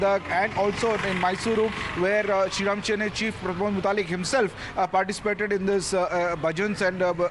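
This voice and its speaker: A man with an Indian accent giving a live news report, halting and repeating himself as he goes. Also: high-pitched, fairly bright tone, a little hiss or hum. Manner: halting, repetitive phrasing.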